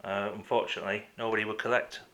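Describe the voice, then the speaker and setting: A man making a complaint as a caller in a phone conversation, insistent and halting, often repeating himself. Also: fairly low pitch, level tone, bright, forceful tone. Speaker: caller to a council office.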